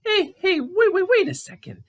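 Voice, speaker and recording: A woman's voice: very high-pitched, wildly swooping pitch, moderate pace, voice pushed up, exaggerated voice. A woman voicing an anxious, whiny female raccoon in a puppet show, hesitant, giggly and melodramatic. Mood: indignant, whiny.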